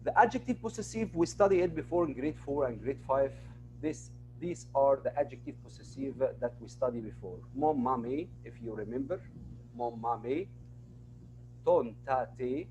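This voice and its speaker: A man's voice: medium pitch, lively intonation, moderate pace, mellow, a little hiss or hum, poor audio. A man teaching an online class, patiently repeating each word and example for his students.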